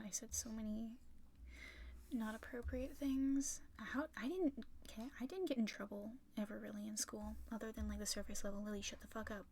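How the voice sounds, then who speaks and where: A young woman chatting casually and rambling on a livestream while drawing, swearing freely, her voice a little rough and raspy from talking for a long time. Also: on the high side, a little hiss or hum. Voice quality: slightly raspy. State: tired, sore throat from long talking. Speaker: art streamer.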